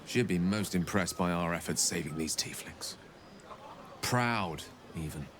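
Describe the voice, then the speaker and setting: An actor playing an eloquent wizard who reminisces wistfully in a video game. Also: male voice, low-pitched, unhurried, fairly bright tone, slight background noise. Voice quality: eloquent, theatrical.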